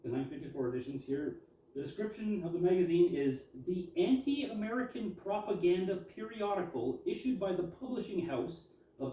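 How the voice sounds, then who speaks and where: A man's voice: high-pitched, dark tone. A man presenting as a collector and historian, reading articles aloud and adding informal commentary. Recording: echoey room, distant mic.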